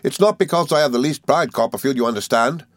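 A man voicing a cheerful, good-natured young gentleman law student in an audiobook, eager and flustered, with little stammering hesitations. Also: mid-range voice, fast talker, no echo, studio quality, pitched above the performer's own voice, natural voice. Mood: eager, friendly. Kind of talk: audiobook character dialogue.

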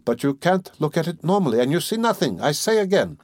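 A man's voice: fairly high pitch, sing-song, a little fast, a little mellow, dry recording, voice pushed up. A man voicing a sly, falsely jovial middle-aged examining lawyer in an audiobook, teasing and ironical. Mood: needling. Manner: teasing, chuckling, wheedling, ironical. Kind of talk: dramatic audiobook character reading.

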